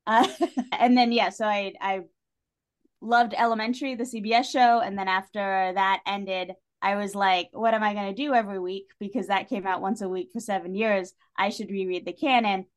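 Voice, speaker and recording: A woman's voice: mid-range voice, fairly even intonation, normal speed. Female podcast guest, conversational and enthusiastic, wry fan with a playful streak. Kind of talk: casual podcast chat.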